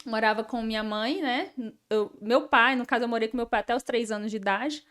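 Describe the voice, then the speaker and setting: A woman in her thirties from Ceará, Brazil, sits as a guest on an interview show and tells her story in a chatty, easygoing way, often tagging her sentences with little questions. Her voice is smooth and resonant and sits a touch high. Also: fairly quick, a bit bright. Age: 30s. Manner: chatty, frequent tag questions and laughs. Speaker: guest architect. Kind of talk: casual interview chat.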